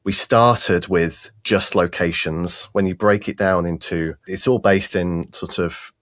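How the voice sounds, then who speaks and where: A man answering interview questions as a sound designer, halting and repeating the starts of phrases. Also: low voice, steady tone, a bit bright, dry recording, clean studio sound.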